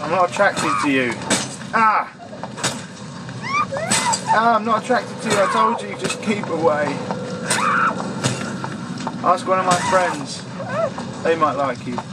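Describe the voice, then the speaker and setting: A man's voice: high voice, lively intonation, slow pace, long pauses. A young man commentating on a horror game as he plays, joking and yelping at the enemies that jump out. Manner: jokey, yelps and swears at enemies. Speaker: gameplay commentator. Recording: distant mic with loud game noise. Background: loud game sound.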